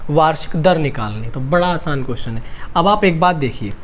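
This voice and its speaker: A man's voice: on the high side, expressive, fairly quick, a little hiss or hum. A male math teacher with a sore throat, giving an exam-prep lesson. He repeats each step and asks and answers his own questions. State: sore throat, clears throat and coughs.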